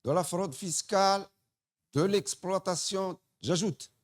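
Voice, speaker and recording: A man from Morocco, a native Tamazight speaker, speaking from the floor for a human rights group, slow and deliberate. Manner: slow, deliberate, lively pitch. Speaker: human rights association representative.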